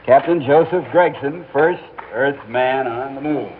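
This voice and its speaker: A man's voice: medium pitch, measured pace, close to an everyday voice. An actor playing an eager male astronaut in an old radio drama, the first man on the moon. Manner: eager, lively. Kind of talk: 1950s radio drama acting. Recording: tinny old radio broadcast with faint hiss.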